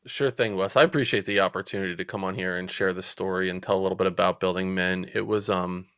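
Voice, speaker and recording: A man in his forties with a New Jersey accent, telling stories as a podcast guest in a chatty, lively way. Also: deep voice, fast talker, clear, resonant tone, no echo, clean studio sound. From Central New Jersey. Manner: chatty, animated storyteller. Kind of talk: casual storytelling interview.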